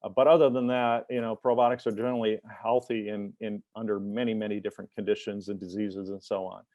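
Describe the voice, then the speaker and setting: A man giving a technical webinar presentation as an invited expert, talking in a halting way with many fillers and restarts. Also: fairly low pitch, little pitch movement, mellow, dry recording, dull audio. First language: English.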